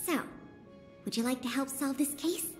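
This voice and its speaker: A woman's voice: high-pitched, normal speed, big-room sound, soft background music, lightly acted. An actress playing an eager, bookish young female museum official in a video game, explaining things with polish and enthusiasm. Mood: businesslike, pleased. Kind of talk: scripted video game voice acting.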